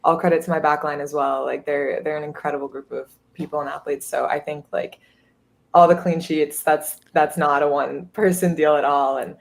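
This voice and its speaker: A woman's voice: low-pitched, fairly even intonation, moderate pace, gravelly. A woman in her twenties, a college athlete, chatting upbeat in a podcast interview with many fillers. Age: 20s. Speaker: guest, college goalkeeper.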